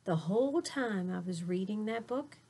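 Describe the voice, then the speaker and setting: A woman's voice: medium pitch, animated, moderate pace, vocal fry, warm tone, husky. A woman reading a children's picture book aloud like a teacher, with dramatic delivery and chatty asides to young listeners.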